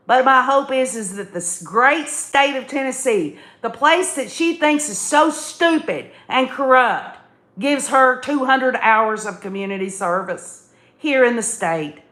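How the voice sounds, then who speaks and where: Higher-voiced woman livestreamer in an echoey room. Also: lively intonation, a little slow, fairly bright tone. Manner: emphatic, repeats phrases, folksy direct address. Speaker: livestream host commentator. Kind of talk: opinionated live commentary, reading viewer comments.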